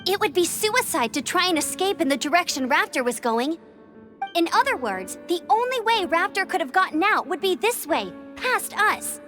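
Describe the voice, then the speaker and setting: A woman's voice: very high voice, sing-song, moderate pace. A young woman voicing a spirited, bold outrider in a video game, upbeat and earnest. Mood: confident. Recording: game audio with music behind.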